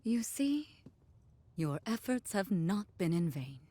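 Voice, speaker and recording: A woman playing a proud, protective warrior in a video game, husky and stately, with a motherly assurance. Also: mid-range voice, sing-song, slow pace, warm tone, no room sound. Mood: tender. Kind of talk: video game cutscene acting. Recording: faint music behind the voice.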